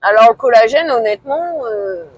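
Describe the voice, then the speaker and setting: A woman in her forties talking casually to camera in a vlog, hesitant and low-key, with many fillers and pauses. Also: expressive, slightly rough, no room sound, rough recording. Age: 40s. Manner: low-key, somewhat discouraged. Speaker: vlogger.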